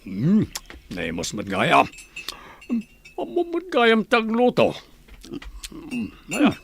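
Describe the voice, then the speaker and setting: A man's voice: high-pitched, wildly swooping pitch, slow pace, gravelly, a bit bright, slight background noise, full character voice. An actor playing an earnest, talkative family man in a radio drama, with an emphatic conversational delivery. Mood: curious, approving. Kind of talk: Ilocano radio drama acting.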